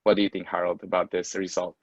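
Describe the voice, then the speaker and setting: A dry, slightly dull online recording of a man. His voice is low, and he moderates politely, presenting results in a measured manner. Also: completely flat delivery, dry recording. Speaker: webinar moderator. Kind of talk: presenting audience poll results. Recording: dull, lo-fi online call audio.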